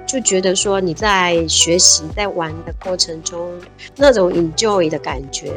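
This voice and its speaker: A woman's voice: fairly low pitch, over music. Cheerful woman in her fifties, guest chat. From Taiwan. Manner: cheerful, laughs often, quick bursts of enthusiasm. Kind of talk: casual interview chat.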